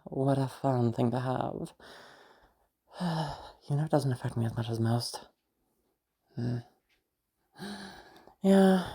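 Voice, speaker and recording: A woman playing a friendly young woman with a hidden obsessive side, in roleplay audio addressed to the listener, with a husky, hesitant delivery. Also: extremely low pitch, dark tone, heavily acted. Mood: brooding, musing.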